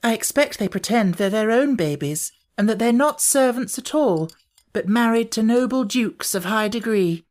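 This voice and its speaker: Storybook girl, played by a woman: young, eager, well-mannered, lifted pitch. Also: animated, normal speed, darkish tone, close to an everyday voice. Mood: dreamy.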